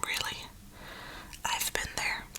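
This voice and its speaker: A woman speaking in a soft, breathy whisper, giving an earnest, reflective, motivational ASMR ramble close to the microphone. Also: slow, poor audio. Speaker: ASMR creator and gamer.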